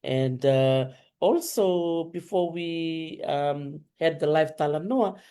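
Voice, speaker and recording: A host, interviewing a guest in casual chat and asking gentle questions with many hesitant fillers. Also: mid-range voice, slow, clean tone. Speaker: talk show host interviewing a student. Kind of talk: casual interview chat, switching between Fijian and English.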